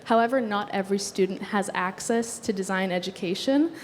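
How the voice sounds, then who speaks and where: Young woman speaking in a reverberant hall. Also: mid-range voice. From Sudan. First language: Arabic. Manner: earnest, measured delivery with occasional fillers. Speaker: automotive designer giving a talk. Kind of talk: prepared stage talk. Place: conference stage in a large hall. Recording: long hall reverb, faint background noise.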